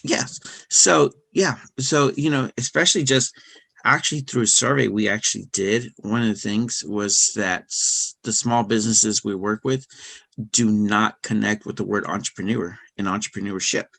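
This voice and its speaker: A middle-aged man speaking as a podcast guest, talking casually with many fillers, restarts and soft laughs. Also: on the low side, normal speed, creaky, dry recording. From United States. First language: English. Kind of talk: casual interview conversation.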